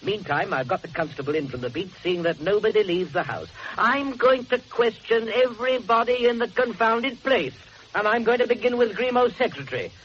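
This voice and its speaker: Grumbling, high-voiced police inspector. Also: male voice, animated, brisk. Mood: grumbling, sceptical. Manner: blustery, sceptical, formal when questioning. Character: exasperated, grumbling, practical male police inspector. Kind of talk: full-cast radio mystery drama acting. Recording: muffled noisy old radio recording.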